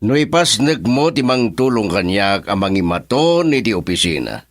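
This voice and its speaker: Radio drama: firm middle-aged father, fairly deep, expressive, closely recorded. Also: moderate pace, no echo, studio quality. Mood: stern. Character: stern, practical father of a grown son.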